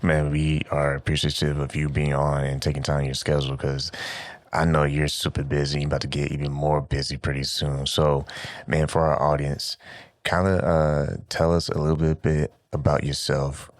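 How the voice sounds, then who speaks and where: A man's voice: extremely low pitch, completely flat delivery, moderate pace, dry recording, studio quality. A man hosting a radio interview show, chatting with a guest in a laid-back, easygoing way. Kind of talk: casual interview chat.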